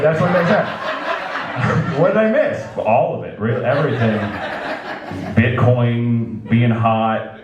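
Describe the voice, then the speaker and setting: Wry thirty-something stand-up comic. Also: male voice, medium pitch, muffled recording, background noise. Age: 30s. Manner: self-deprecating, loose, laughs at own jokes. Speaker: stand-up comedian. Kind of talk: stand-up set. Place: comedy club stage. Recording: echoey club with loud crowd. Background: laughing crowd.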